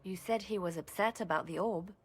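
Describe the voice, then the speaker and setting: Woman as fantasy game spymaster, medium pitch, formal, shrewd, measured, faint music behind. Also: close to an everyday voice. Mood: composed, grave. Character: composed, shrewd female spymaster advising her lord. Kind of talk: dramatic video game dialogue.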